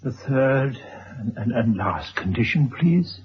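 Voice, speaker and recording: Radio drama schemer: older gentleman, measured, dry, politely unyielding, mid-range voice, dull recording with faint hiss. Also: mid-range voice, animated, husky, exaggerated voice. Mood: calmly insistent. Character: calm, calculating older gentleman author, coolly insistent.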